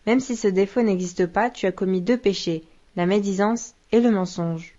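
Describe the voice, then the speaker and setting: A woman's voice: mid-range voice, moderate pace, mellow, dull audio, close to an everyday voice. A woman playing a patient, solemn religious teacher in an educational children's cartoon. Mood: solemn, instructive.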